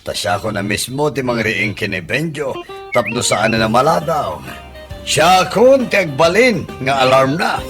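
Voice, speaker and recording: A man's voice: mid-range voice, animated, unhurried, music in the background, lightly acted. A man playing a polite older brother in an Ilocano radio comedy, speaking with an Ilocano accent. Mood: flirtatious, amused. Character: polite, slow-talking adult older brother.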